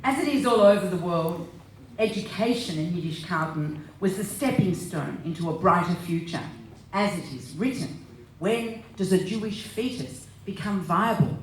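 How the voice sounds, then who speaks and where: A woman's voice: on the low side, expressive, normal speed. A woman narrating a scripted stage reading, recorded live in an echoey hall with slight noise.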